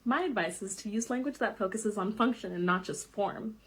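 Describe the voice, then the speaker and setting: A woman in a social media clip calmly explaining language advice in an instructive way. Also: mid-range voice, brisk, a little hiss or hum.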